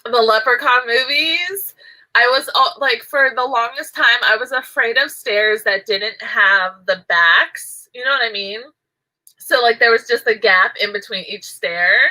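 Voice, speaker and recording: A woman's voice: fairly high pitch, a little slow, bright, forceful tone, poor audio. A woman chatting casually while she crochets, rambling and giggling, her nose stuffy and nasal from allergies. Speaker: crochet vlogger.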